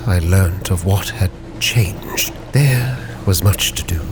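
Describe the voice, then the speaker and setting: A man narrating a sea captain's log in character, with a measured, solemn, dramatic delivery. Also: low-pitched, noisy background. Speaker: storyteller, roleplay channel host. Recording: music bed behind the voice. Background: music.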